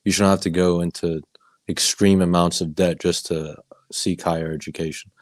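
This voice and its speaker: A man with a very deep, flat voice speaks on a video call, hesitant and restarting often. The sound is dry and close. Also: moderate pace, no echo. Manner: hesitant, many fillers and restarts. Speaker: student debt organizer. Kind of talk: panel introduction.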